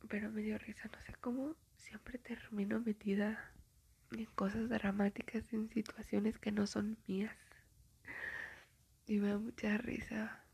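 Whispering young woman, breathy and gravelly. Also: mid-range voice, little pitch movement, slow, darkish tone. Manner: chatty gossiping storytelling, full of fillers. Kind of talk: whispered ASMR storytime chat.

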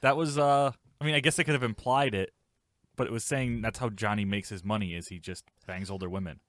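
A man's voice: lowish voice, fairly even intonation, brisk, a bit bright, no echo, clean studio sound. A man chatting casually as a co-host on a film review podcast. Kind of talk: casual movie review chat.

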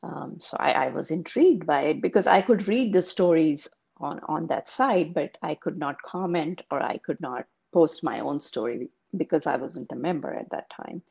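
Brief: woman author, low gravelly voice, reflective interview answers. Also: animated, moderate pace, a little mellow, muffled recording. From India. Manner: thoughtful, explanatory. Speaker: author, guest.

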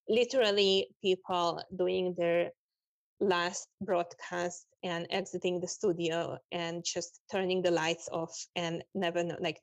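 Woman, fairly low voice, dry sound, interview guest, reflective, halting phrasing. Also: no echo. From grew up in Israel, born in Russia. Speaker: interview guest, human rights activist.

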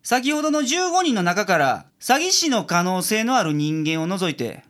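A man acting in a radio drama as a gruff, money-minded private detective with a Kansai accent, blunt and shrewd. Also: high voice, very animated, normal speed, fairly bright tone. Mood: businesslike, formal. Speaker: amateur radio drama actor.

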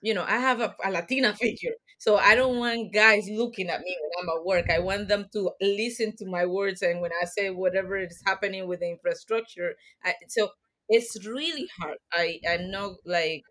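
A woman with a mid-pitched voice speaks as a podcast guest. She tells stories in a lively way and mixes Spanish and English as she talks. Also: moderate pace. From Colombia. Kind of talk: casual interview chat.

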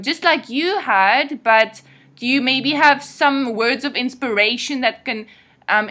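Bright, encouraging woman interviewer. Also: on the high side. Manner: encouraging, many affirmations. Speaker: interviewer, online English teacher. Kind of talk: interview conversation.

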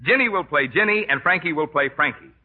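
A man's voice: fairly high pitch, lively intonation, fast talker, breathy edge. A man announcing an old-time radio comedy sketch in a mock-grand, theatrical way. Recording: old tinny radio broadcast over music.

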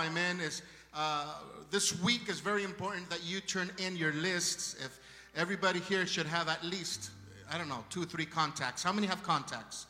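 A man with a strong Spanish accent preaches to his congregation with fervent, ringing calls of praise. His voice sits high and sounds strikingly bright. The recording carries the long echo of a church hall, heard from a distance. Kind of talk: sermon.

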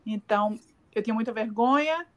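Middle-aged woman, northeastern brazilian accent, halting chatty interview answers, lots of "né". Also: fairly high pitch, muffled recording. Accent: Northeastern Brazilian Portuguese. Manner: hesitant, many fillers and restarts, frequent tag questions. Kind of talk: casual remote interview.

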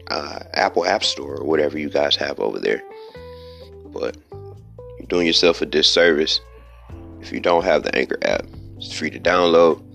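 A man's voice: a bass voice, creaky, pushed and bright. A man hosting a podcast, talking casually and informally with slang and the odd swear word. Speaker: podcast host.

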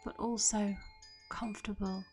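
A woman guides a meditation very slowly, leaving long, gentle pauses. Her delivery is even and soothing, with little melodic movement and soft, breathy phrase endings that settle into a creaky fry. Faint music with chimes sits behind her. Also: medium pitch.

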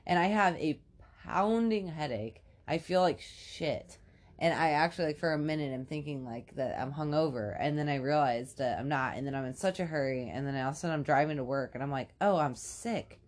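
Low-voiced congested woman, raspy. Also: brisk. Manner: rambling, filler-heavy storytelling. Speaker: podcast co-host. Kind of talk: casual chat.

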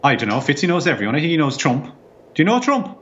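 A man's voice: fairly high pitch, big pitch swings, fast, a bit bright, dull audio. A man playing a crude, boozy rural Irish caller from Offaly who swears heavily and rambles. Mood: pushy.

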